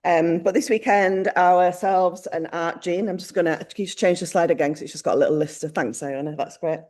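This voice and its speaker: A woman's voice: on the low side, steady tone, fairly quick, a little mellow. A woman presenting upcoming events informally, chatty and playful with a self-deprecating touch. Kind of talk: informal live event update.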